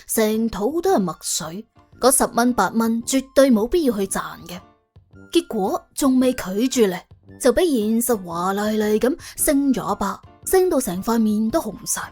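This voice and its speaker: A woman with a Cantonese accent narrating a comic crime story in a colloquial, wry, expressive way. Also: on the high side, moderate pace, faint music behind. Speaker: audiobook narrator.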